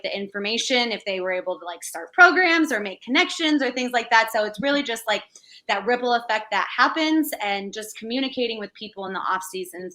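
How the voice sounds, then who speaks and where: A young woman, a conference planner, chatting casually about running a virtual event, with frequent fillers. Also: medium pitch. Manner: relaxed, conversational, frequent fillers. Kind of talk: casual chat.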